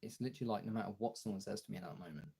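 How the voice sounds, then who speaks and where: A man chatting casually as a streamer, thinking aloud haltingly as he searches for words and trails off. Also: lowish voice, gravelly, dark tone, slightly rough.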